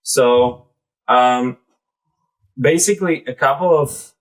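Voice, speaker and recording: A man's voice: mid-range voice, low-quality recording. Informal male presenter with Belarusian accent. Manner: conversational, halting, often saying "like" and "basically". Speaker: presenter, startup founder.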